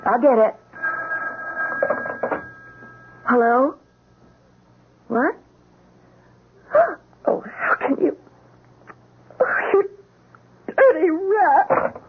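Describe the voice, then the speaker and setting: A woman's voice: high-pitched, sing-song, very slow, pauses often, a little hiss or hum, full character voice. An actress playing a frightened, emotional young wife of a policeman in a radio drama, faltering and breathless. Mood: shaken, tearful. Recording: thin, phone-like old radio broadcast.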